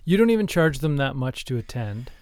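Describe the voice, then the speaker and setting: A man with a clear, resonant voice and a middle pitch speaks as a podcast co-host. His delivery is earnest and deadpan, with halting stops and starts, as he plays comedy straight. The sound is dry, with no room echo. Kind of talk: deadpan comedic screenwriting talk.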